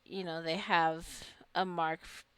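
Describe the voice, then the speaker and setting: A woman's voice: on the low side, steady tone, slow pace, fairly bright tone, clean tone, no room sound. A woman giving casual gameplay commentary, rambling and thinking aloud as she plays. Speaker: gamer narrating her own gameplay.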